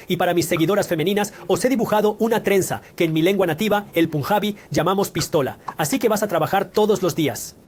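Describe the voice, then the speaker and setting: A man's voice: high voice, darkish tone, a little hiss or hum. A man hosting a scripted finance explainer, talking straight to the viewer and posing questions he then answers. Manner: direct, asks questions and answers them. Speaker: personal finance educator.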